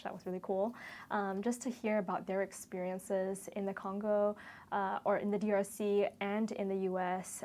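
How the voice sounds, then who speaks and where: A woman's voice: medium pitch, a little mellow, a little hiss or hum. A woman in her twenties from Malaysia, speaking earnestly in a reflective interview testimonial, in loosely strung phrases. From Kuala Lumpur, Malaysia. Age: 20s. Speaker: medical student-to-be sharing a shadowing experience.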